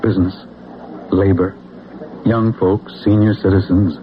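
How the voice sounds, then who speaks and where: An actor with an American accent playing an intense, self-assured political zealot in an old-time radio drama, speaking grandly and persuasively with deliberate pauses. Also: male voice, sits low, fairly even intonation, slight background noise. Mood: persuasive, fervent. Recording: muffled old radio broadcast with music.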